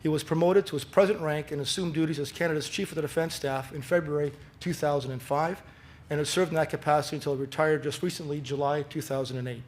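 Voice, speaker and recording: Man, event host, slightly high voice, formal prepared introduction, measured delivery. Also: fairly even intonation. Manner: measured, formal delivery, rises at key phrases.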